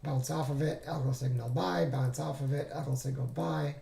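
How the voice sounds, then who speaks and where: A man hosting a trading walkthrough who talks casually and thinks aloud, halting and correcting himself, and is losing his voice. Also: mid-range voice, steady tone, creaky, mellow, roomy sound. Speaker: trading streamer. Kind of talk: casual screen-share walkthrough.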